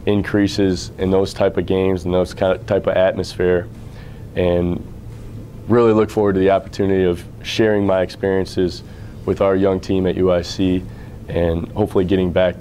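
A low-voiced American man in his thirties speaks with an even, mellow delivery. He sounds like a coach in an interview, measured and earnest, with steady room noise behind him. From Illinois, United States. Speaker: assistant basketball coach. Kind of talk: on-camera interview about his career. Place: indoor athletics office, seated before camera.

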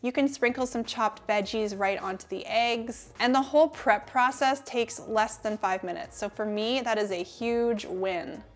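A woman's voice: a little high, unhurried, few pauses. A woman in her thirties hosting a cooking channel, talking through kitchen tips to camera in an upbeat, friendly way from her home kitchen.

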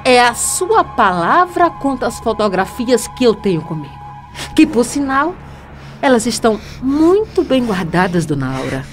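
Young Brazilian female blackmailer, played by an actress: defiant, self-righteous, highly animated, radio soap with faint music. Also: unhurried. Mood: taunting. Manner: theatrical, taunting. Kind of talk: radio soap opera acting.

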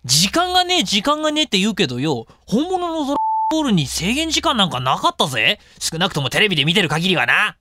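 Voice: mid-range voice, wildly swooping pitch, pushed and bright, no room sound, full character voice. A performer playing a cheeky, incredulous young man with slangy, exclamatory speech in a comedic voice drama. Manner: slangy, quick-reacting, exclamatory.

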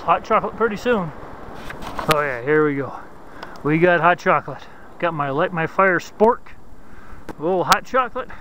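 Middle-aged guy with a high voice, talking to a handheld cam out in snowy woods, keeps repeating words, lots of noise. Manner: halting, repeats words often. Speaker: outdoors vlogger. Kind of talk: casual bushcraft walkthrough. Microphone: handheld camera at arm's length.

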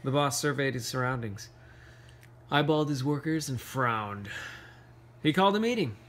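A man reading a picture book aloud to children, playfully, pausing for casual questions and asides. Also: mid-range voice, animated, fairly bright tone. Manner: playful, pauses to ask questions. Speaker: read-aloud storyteller.

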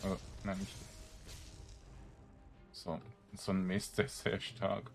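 Slow-talking, low-voiced grumbling male gamer. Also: completely flat delivery, gravelly, faint music behind. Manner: grumbling, exasperated, muttering to himself. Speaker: video game streamer. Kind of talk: casual let's-play commentary.

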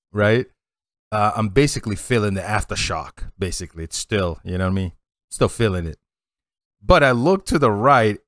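A man in his forties with a Boston accent, hosting a casual reaction video, laid-back and laughing a lot, recorded on a headset boom mic at home. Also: a bit bright, dry recording, clean studio sound. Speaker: reaction channel host. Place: home streaming setup, indoors.

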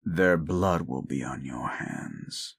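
Casting: male actor for jaded, grieving werewolf in an audio roleplay, slow gravelly delivery, cynical lecturer. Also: very deep voice, full character voice. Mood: bitter, menacing. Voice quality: gruff, gravelly. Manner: cynical, scolding, deliberate storytelling. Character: bitter, grieving, gruff adult male werewolf. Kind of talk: audio roleplay drama acting.

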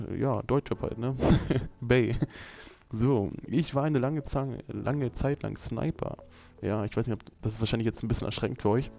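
A man's voice: on the low side, vocal fry, warm tone. A young man from Berlin, rambling in a laid-back, casual way with many fillers and laughs, talking over gameplay footage. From Berlin, Germany. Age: young adult. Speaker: gaming YouTuber. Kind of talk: casual gameplay commentary vlog.

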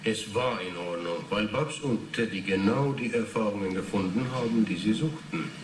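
A man's voice: fairly low pitch, moderate pace, far from the mic, natural voice. An actor playing an earnest Finnish doctor in his thirties who lectures and defends himself in a radio drama. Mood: insistent. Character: earnest thirty-one-year-old Finnish neurology doctor under questioning. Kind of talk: 1980s German radio drama acting. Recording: roomy, noisy interrogation recording.

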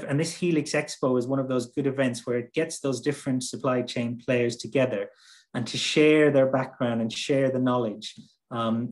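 A man giving a conference presentation over a video call, speaking conversationally with many fillers and restarts. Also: medium pitch. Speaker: presenter from a humanitarian logistics nonprofit. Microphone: computer mic on a video call.

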